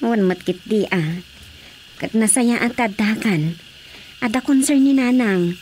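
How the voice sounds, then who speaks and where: High-pitched, earnest Ilocano village wife played by a woman. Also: unhurried, darkish tone, slight background noise, close to an everyday voice. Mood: insistent. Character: earnest village wife, dutiful daughter, gently insistent. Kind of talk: Ilocano radio drama acting.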